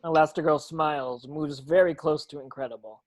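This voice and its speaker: Fairly high-voiced man narrating a script. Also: steady tone, normal speed. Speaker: narrator reading stage directions. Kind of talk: scripted narration at a table read.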